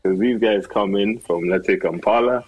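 A man's voice: lowish voice, normal speed, husky, highs cut off, faint music behind. A man in his thirties from Kenya, a farmer and entrepreneur, talking casually about his life with lots of fillers and restarts. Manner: relaxed, rambling with many fillers and restarts. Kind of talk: casual conversational storytelling.

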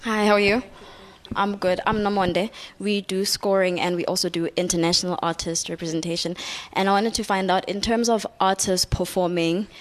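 A woman's voice: medium pitch, little pitch movement, moderate pace. A woman in the audience, politely introducing herself and asking a question.

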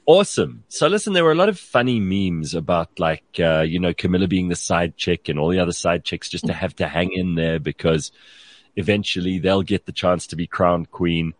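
Man, low voice, talk show host, blunt and opinionated, conversational, dry close sound. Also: normal speed, dry recording. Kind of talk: conversational opinion monologue and questions.

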